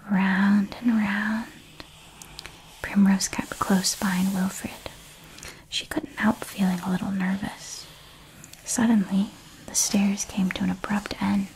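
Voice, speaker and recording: A woman reading a children's story aloud in a soft, calm ASMR whisper. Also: medium pitch, a little hiss or hum, low-quality recording. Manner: slow, calm and hushed.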